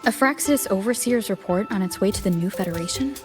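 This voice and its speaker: Actress as a serious, mid-pitched game heroine. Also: female voice, lively intonation, quick pace, over music, natural voice. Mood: probing. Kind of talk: video game voice acting.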